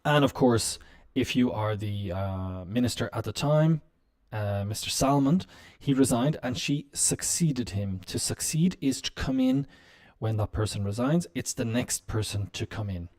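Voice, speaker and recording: A man teaching business English on a live stream, explaining slowly with many "um"s and "uh"s. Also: medium pitch, big pitch swings, a little mellow. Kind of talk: live-stream language lesson.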